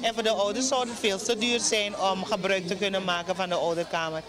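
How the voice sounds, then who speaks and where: A middle-aged woman from Suriname, a nurse, answering in a steady, matter-of-fact way into a handheld mic in a busy restaurant. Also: medium pitch, fairly quick. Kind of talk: on-site interview. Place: busy fast-food restaurant, indoors. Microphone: handheld reporter mic. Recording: loud crowd behind.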